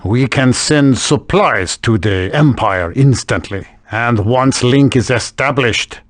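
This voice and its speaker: A man's voice: on the low side, no room sound, clean studio sound. A man voicing a single-minded researcher in a video game, speaking in stilted, formal phrases with dropped articles.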